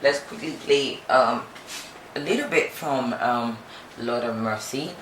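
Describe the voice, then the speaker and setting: A man's voice: medium pitch, fairly bright tone. A man hosting a radio interview, playful and teasing with his guest. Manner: playful, teasing, quick to laugh. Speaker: radio show host. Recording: echoey room, distant mic, background noise.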